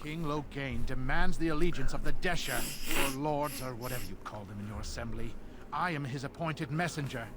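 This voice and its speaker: A man's voice: mid-range voice, brisk, noisy background, natural voice. A man playing a haughty, indignant royal messenger in a fantasy video game, speaking in a pompous, demanding way.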